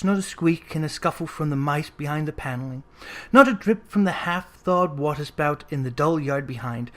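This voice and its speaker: An audiobook narrator reading a classic story aloud in an expressive, scripted storytelling style. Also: medium pitch.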